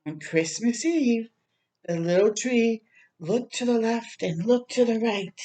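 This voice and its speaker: Woman doing kids storytime, gentle n expressive, takes her time, soft warm tone. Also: on the high side, slow pace. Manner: gentle, expressive, prompting listeners with questions. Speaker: children's storytime host reading aloud. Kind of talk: picture book read-aloud with asides to young listeners.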